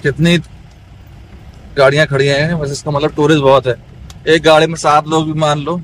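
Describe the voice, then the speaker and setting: A man narrating a casual travel vlog outdoors and from a jeep, chatty and excited, joking with his companions. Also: a little high, moderate pace, low-quality recording. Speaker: travel vlogger. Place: outdoors and in a jeep on a bumpy road.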